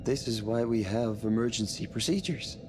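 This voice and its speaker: An actor playing a steady, by-the-book spaceship crewman in a sci-fi game, measured and procedural. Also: lowish voice, music in the background, natural voice. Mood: composed, reassuring. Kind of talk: video game voice acting, sci-fi horror.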